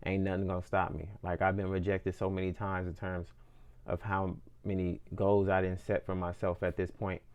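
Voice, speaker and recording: A man's voice: sits low, monotone, normal speed, a little mellow, clear, resonant tone. A man in his twenties talking earnestly about his music and goals in a reflective personal monologue, often repeating and restarting phrases as he thinks aloud. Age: 20s. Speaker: independent musician.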